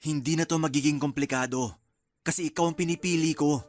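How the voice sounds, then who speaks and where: A desperate young man, voiced by an actor pleads insistently in a Filipino radio drama. Soft music sits underneath his voice. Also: medium pitch, fairly even intonation, a little fast, close to an everyday voice. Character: desperate, pleading young man torn between two women.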